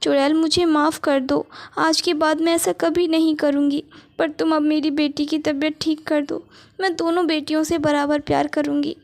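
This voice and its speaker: A woman's voice: high-pitched, little pitch movement, normal speed. A woman voicing a harsh, scolding mother in a spoken storybook. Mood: pleading. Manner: sharp, scolding, later pleading. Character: mean middle-aged mother, harsh and scolding.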